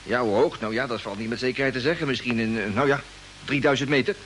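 A male actor playing a chatty, excitable radio operator with folksy, hesitant phrasing in a radio play. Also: medium pitch, fast talker, lightly acted. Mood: matter-of-fact. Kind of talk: mid-century radio play acting. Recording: old radio recording with faint hiss.

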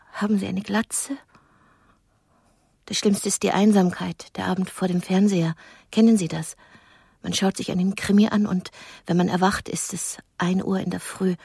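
Lonely aging woman, nervous, theatrical, melodramatic, breathy whispered touches, medium pitch, radio drama. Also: darkish tone. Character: nervous, lonely aging woman rehearsing romantic lines for blind date.

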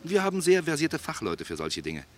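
An actor playing a courteous, dryly teasing police investigator in a German radio play, questioning insistently with irony. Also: male voice, on the high side, wildly swooping pitch, brisk, soft background music. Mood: businesslike, probing. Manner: insistent, drily ironic questioning.

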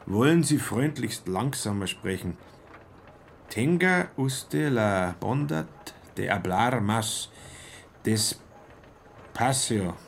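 A man's voice: on the low side, expressive, slow, vocal fry, pauses often, a little hiss or hum, full character voice. An actor playing a self-important young German tradesman in a radio play, speaking with stilted, bookish care. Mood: studious, halting. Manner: stilted, careful bookish diction.

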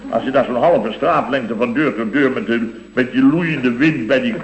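A man's voice: medium pitch, normal speed, slight background noise, close to an everyday voice. An actor playing an indignant, blustering building-firm boss in a radio comedy, exclaiming and repeating himself as he grumbles. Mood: exasperated. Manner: exclamatory, repetitive, grumbling storyteller. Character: blustering, indignant male construction-company boss recounting weekend mishaps. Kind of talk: scripted radio comedy acting. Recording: dull, roomy old radio recording.

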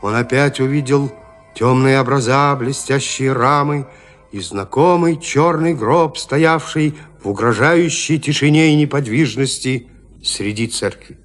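A man's voice: medium pitch, a little slow, close to an everyday voice. A man narrating a gothic horror story in an audio drama with theatrical suspense. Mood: suspenseful. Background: music.

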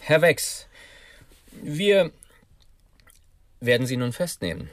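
An actor playing a weary, calm police chief in a radio drama, matter-of-fact and dry. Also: male voice, a little high, slow pace, no room sound. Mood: calm, reassuring. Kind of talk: German radio drama acting.

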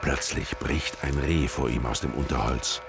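Solemn narrator, rock-bottom pitch, gravelly fry, deadpan, measured, radio storytelling. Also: male voice. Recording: music behind the voice.

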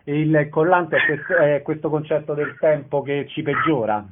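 Brief: male radio interviewer, casual delivery, fairly high voice, narrow band with faint noise. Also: fairly even intonation, moderate pace.

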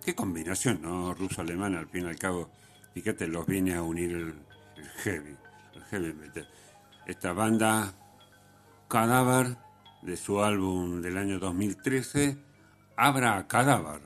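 A man with a low-pitched voice and an Argentine accent presents music on the radio. He comments casually, rambling along and circling back over his words. His delivery is halting, often checking in with short tag questions. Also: a bit bright. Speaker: radio host.

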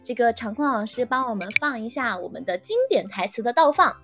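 A high-pitched woman hosts a casual game segment on a livestream, chatting with her guests. Faint music plays behind her voice. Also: lively intonation, brisk. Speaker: host of an audio drama fan livestream.

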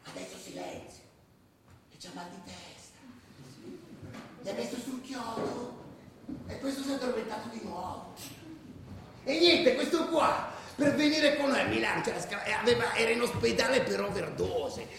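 An actor playing a rowdy, foul-mouthed football hooligan who tells his stories in a coarse, animated way in a radio drama. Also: very high-pitched, moderate pace, vocal fry, long pauses, noisy background, exaggerated voice. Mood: amused. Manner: coarse, animated, shouty storytelling. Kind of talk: radio drama monologue, spoken like street anecdote. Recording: roomy, distant echoing radio recording.

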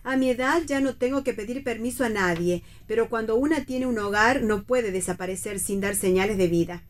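A woman's voice: fairly high pitch, brisk, close to an everyday voice. An actress playing a proper, homely older mother, mildly reproachful and resigned, in a read radio play. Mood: prim, insistent. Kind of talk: staged reading of a radio play.

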